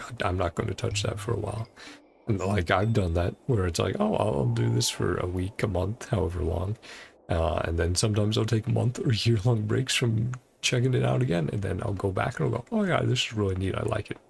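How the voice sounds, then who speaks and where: A man's voice: fairly low pitch, a little fast, creaky, slight background noise. A man livestreaming a video game, chatting casually in rambling asides and reading dialogue aloud. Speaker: game streamer.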